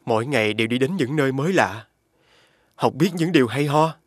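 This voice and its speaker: An actor playing a polite, poetic, homesick young scholar in an animated fairy tale. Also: male voice, medium pitch, fairly quick, dry recording, studio quality, lightly acted. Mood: reflective. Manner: polite, formal.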